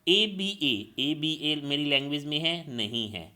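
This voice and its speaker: A man giving a classroom lecture, with a bright, forceful voice pitched a little high. His voice rises and falls a lot as he asks his own questions and answers them step by step, calling his students children. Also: animated, unhurried. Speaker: teacher.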